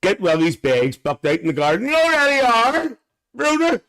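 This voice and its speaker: A man's voice: very high-pitched, huge pitch swings, normal speed, a bit bright, exaggerated voice. A man playing a gossipy older aunty who hosts a live stream, chatting bawdily with viewers and calling them dear. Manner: bawdy, gossipy, calls viewers dear, laughs a lot. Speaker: livestream host. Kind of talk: live-stream comic chat reading comments.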